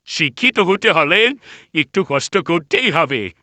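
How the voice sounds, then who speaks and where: A man voicing an excitable, fussy animal in a cartoon fable, speaking emphatically. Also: on the high side, takes it slowly, dry recording, heavily acted. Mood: scolding.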